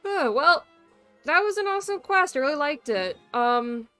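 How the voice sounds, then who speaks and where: A woman's voice: sits high, animated, normal speed, sharp tone, soft background music. A woman live streaming a video game with casual, blunt, sweary, informal commentary.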